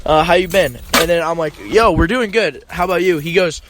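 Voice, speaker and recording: A man's voice: on the high side, brisk, over music. A young man hosting a casual chat, telling stories to friends and swearing freely, recorded inside a car. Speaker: podcast host.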